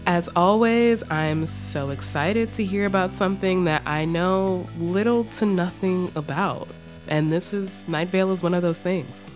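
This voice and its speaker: A woman's voice: on the low side, measured pace, over music. A woman hosting a podcast in a casual, chatty interview style. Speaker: podcast host.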